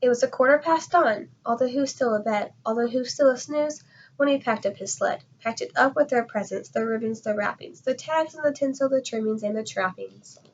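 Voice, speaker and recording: A woman with a somewhat high voice reads a children's story aloud. Her phrasing is rhythmic and theatrical, made for young listeners. The sound is a bit dull and lo-fi. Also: normal speed, a little hiss or hum. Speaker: storybook reader. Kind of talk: expressive children's read-aloud.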